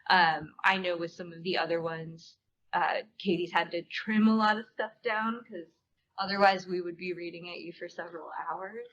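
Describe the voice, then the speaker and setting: A woman's voice: lowish voice, steady tone, normal speed, a bit bright. A woman answering audience questions casually as a theater performer on a panel, chatty and informal, often saying "like". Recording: dull room recording with clear background noise.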